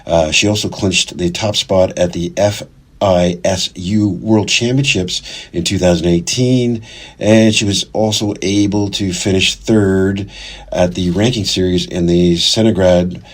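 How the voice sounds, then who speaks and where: Husky low-voiced man hosting a podcast. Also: fairly even intonation, a little slow. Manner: hesitant, filler-heavy, self-correcting. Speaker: podcast host. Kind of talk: interview, reading an introduction.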